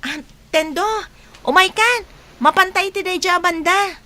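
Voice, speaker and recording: A woman's voice: very high-pitched, a little slow, pushed and bright, exaggerated voice. An actress with an Ilocano Filipino accent playing a reassuring young female friend in a radio drama. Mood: chatty.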